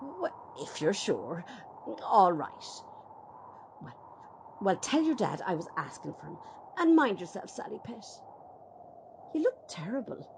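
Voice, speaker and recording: An actress playing a nosy, gossipy older Irish neighbour in a radio play, with a husky voice and a chatty, probing manner. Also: female voice, mid-range voice, very animated, a little slow, pauses often, darkish tone, slight background noise, rough recording. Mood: chatty, fussing.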